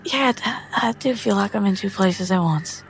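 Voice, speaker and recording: A woman's voice: mid-range voice, moderate pace, creaky, soft background music, close to an everyday voice, low-quality recording. A woman playing a guarded, hesitant young adventurer. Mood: apologetic. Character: Poppy, evasive apologetic young female adventurer.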